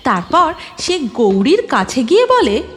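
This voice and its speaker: A woman's voice: high voice, very animated, measured pace, fairly bright tone, faint music behind. A woman giving scripted narration of a cartoon moral story, in a reverberant recording.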